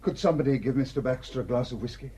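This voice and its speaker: An actor playing a courteous, shrewd village rector in a radio drama, speaking in a polite, measured, probing way. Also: male voice, mid-range voice, background noise, lightly acted. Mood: gently accusing.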